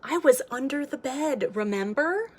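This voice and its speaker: A woman reading the part of a soft-spoken, steady old grandmother in a storybook, gentle and reassuring. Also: high-pitched, unhurried, voice pushed up. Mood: encouraging.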